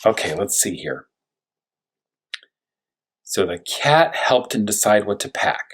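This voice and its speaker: A man with a low, husky voice speaks as a primary school teacher during a story read-aloud. He explains things simply for young children, wonders aloud in questions, and draws out important words for emphasis. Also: big pitch swings, slow, a bit bright. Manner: thinks aloud with rhetorical questions. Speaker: first-grade teacher reading a story aloud.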